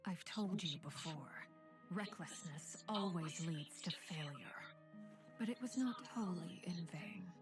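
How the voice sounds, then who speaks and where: Slow, hushed, commanding crime matriarch. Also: female voice, lowish voice, animated. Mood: stern, quiet. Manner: measured, hushed, solemn. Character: cold, composed, commanding woman crime-family leader. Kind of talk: scripted game trailer acting. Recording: background music under the voice.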